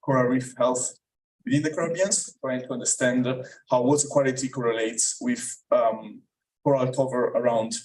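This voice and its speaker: A young man with an Italian accent presents to a lecture room and fills his talk with ums and uhs. His voice sits at a medium pitch and picks up some distance from the mic, like a speaker standing away from it. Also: steady tone, moderate pace, darkish tone, a little hiss or hum. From Italy. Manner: hesitant, many fillers. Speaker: student presenter. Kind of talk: academic talk.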